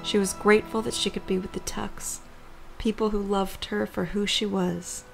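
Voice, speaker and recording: Creaky-voiced woman narrating, even delivery. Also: medium pitch, moderate pace, faint music behind. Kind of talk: scripted story narration for a fan-made audio drama.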